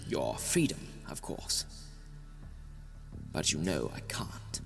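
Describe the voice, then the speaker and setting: A man's voice: rumbling low, wildly swooping pitch, slow pace, vocal fry, fairly bright tone, faint music behind, exaggerated voice. A man playing a lordly, wry scientist hero and dandy in an audio drama. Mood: stern.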